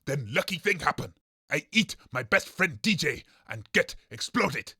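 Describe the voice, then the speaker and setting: A man voicing a dim, proud green monster head in an audio comedy, speaking halting, pompous broken English in a raspy voice. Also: on the high side, lively intonation, bright, forceful tone, dry recording, clean studio sound, heavily acted. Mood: pompous, blunt. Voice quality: gravelly, raspy. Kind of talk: audio comedy character acting.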